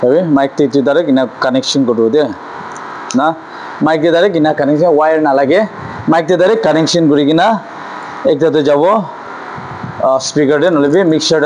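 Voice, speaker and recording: A man's voice: fairly high pitch, darkish tone, slight background noise, poor audio. A man gives an informal shop tour as a seller, rambling and repeating himself with English words mixed in. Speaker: music store seller presenting stock. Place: small cluttered music store.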